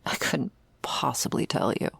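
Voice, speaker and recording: A woman playing a jaded, sardonic vampire's servant in an intimate ASMR roleplay, talking in a wry, world-weary, gravelly way. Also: normal speed, a bit bright, no room sound, close to an everyday voice. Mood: dry, musing. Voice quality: very creaky, gravelly. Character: jaded, sardonic female vampire's servant explaining undead lore.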